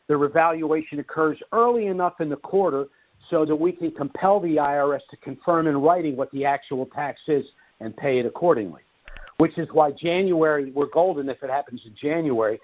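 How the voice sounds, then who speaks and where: A man hosting a question-and-answer call, explaining things deliberately and emphatically, repeating his key points. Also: fairly high pitch. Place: conference call. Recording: muffled, narrow-band conference call audio.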